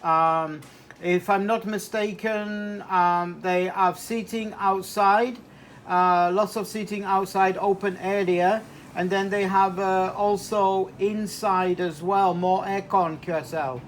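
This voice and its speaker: A man with a fairly high voice talks casually over amateur radio, hesitating as he goes and dropping in operator jargon. There is faint noise in the recording. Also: fairly even intonation, slow. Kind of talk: casual two-way radio chat.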